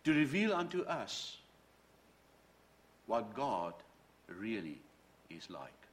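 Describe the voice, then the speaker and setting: Earnest middle-aged preacher, lively pitch. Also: male voice, takes it slowly. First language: Dutch. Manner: earnest, storytelling, quotes from books. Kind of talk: sermon with personal testimony.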